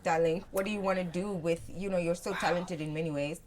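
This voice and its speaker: A woman's voice: lowish voice, steady tone, a little fast, darkish tone. A woman co-hosting a talk show, playful and laughing, reading out viewer comments. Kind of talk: casual chat.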